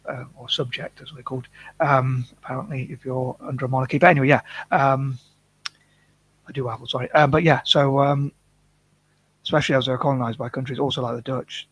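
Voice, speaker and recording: Male vlogger, flat monotone delivery, husky, hesitant, lots of restarts. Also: mid-range voice, brisk, poor audio. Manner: hesitant, many restarts and fillers, self-conscious. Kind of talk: rambling casual monologue.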